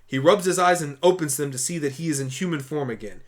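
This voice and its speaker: A man's voice: on the high side, fast talker. A man reading scripted story narration for a fan audio drama.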